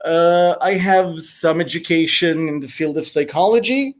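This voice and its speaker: A man's voice: a little high, moderate pace. A man from Israel with an Israeli accent, a Hebrew native speaker, who speaks hesitantly with many fillers in an online debate.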